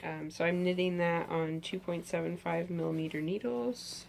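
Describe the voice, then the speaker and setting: A woman in her thirties with a low, gravelly voice, a bit hoarse from a cold. She chats eagerly about her knitting to a camera in front of her, in a bedroom. Faint hiss sits behind her. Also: steady tone, moderate pace, a little mellow. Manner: chatty, enthusiastic, lots of "um"s. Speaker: knitting podcast host. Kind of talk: casual show-and-tell chat. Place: bedroom with pillows, indoors.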